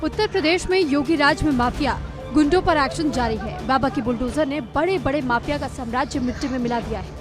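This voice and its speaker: A woman's voice: high-pitched, a little fast. A woman presenting the news in a scripted narration style. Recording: music bed under voice.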